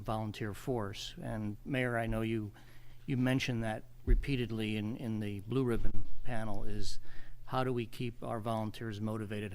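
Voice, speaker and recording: Man, lowish voice. Committee member speaking off the cuff, stumbling over repeated words and ums. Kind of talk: unscripted remarks at a public meeting.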